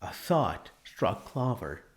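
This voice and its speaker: A man reading a literary story as an audiobook narrator, with measured, deliberate, dramatic phrasing. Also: on the low side, very animated.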